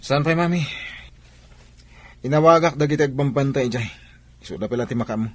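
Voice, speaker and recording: A man with an Ilocano Filipino accent acting a stubborn, grumbling shop owner and son in an audio drama, his voice slightly husky and testy. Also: mid-range voice, fairly quick, dry recording, lightly acted. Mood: sulky.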